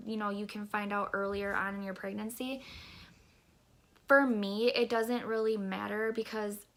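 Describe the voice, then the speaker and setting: A woman's voice: medium pitch, bright, forceful tone. A woman in her thirties with a stuffy, congested nose, chatting casually in a rambling pregnancy update vlog, recorded on a camera mic in a small bedroom. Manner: chatty, rambling, many fillers and restarts. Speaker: vlogger.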